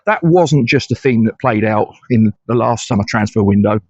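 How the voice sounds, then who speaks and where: A man's voice: lowish voice, moderate pace, darkish tone, dry recording, lo-fi sound. A middle-aged man from England giving blunt, conversational solo commentary as a fan channel host, with frequent hesitant restarts.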